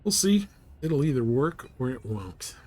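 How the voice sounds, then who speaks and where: A man's voice: mid-range voice, unhurried. A man casually commentating on his gameplay as a streamer, in a salty, profane, slangy way. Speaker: game streamer. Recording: steady background noise.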